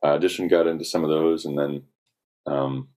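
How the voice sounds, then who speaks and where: A young man from South Carolina, a singer-songwriter, chatting in an interview, relaxed and rambling with lots of ums and likes. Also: extremely low pitch, brisk. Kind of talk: casual interview talk.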